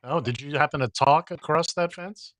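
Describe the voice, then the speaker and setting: A man's voice: on the high side, normal speed, a bit bright. A man co-hosting a casual radio show, trading jokes and teasing his co-host. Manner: teasing, joking.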